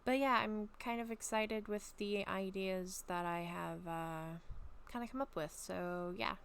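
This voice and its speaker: A woman chatting casually and reading her own story aloud at home, a little raspy from a long talking session, rambling with many soft ums. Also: mid-range voice, steady tone, a little slow. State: slightly raspy throat. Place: at home, bedroom.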